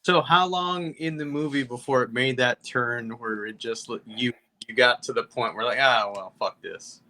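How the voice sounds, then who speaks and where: A man's voice: mid-range voice, moderate pace, bright, forceful tone. A man co-hosting a podcast, asking questions in casual conversation.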